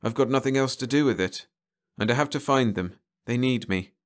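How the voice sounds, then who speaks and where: A troubled man searching for his family, acted by a male narrator in an audiobook. He speaks slowly and hesitantly in a medium pitch, ill at ease and unsure of himself. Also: close to an everyday voice. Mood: troubled, insistent.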